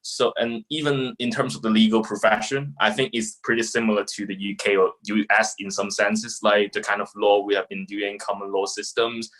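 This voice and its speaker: A man in his twenties speaks as a guest on an interview podcast. He has a Hong Kong accent and a voice a little lower than average. His delivery is casual and informal, often circling back over his phrases. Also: level tone, normal speed, hardly any pauses, fairly bright tone, rough recording. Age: 20s. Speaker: podcast guest, young lawyer.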